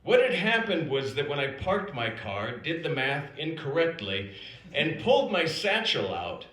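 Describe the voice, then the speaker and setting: An American man performing a scripted comedic story on stage with theatrical, deliberate pauses and emphatic delivery. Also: fairly high pitch, big pitch swings, fairly bright tone. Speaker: storyteller performing own written piece. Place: theater stage before an audience. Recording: roomy live stage recording. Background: audience laughter.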